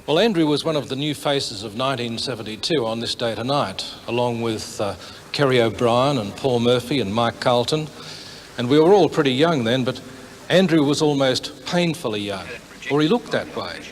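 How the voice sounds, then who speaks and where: A mature man gives an interview recollection. His pitch is average for a man, and he talks reflectively about someone he worked with. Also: lively intonation, normal speed, slight background noise. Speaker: interviewee, veteran broadcaster.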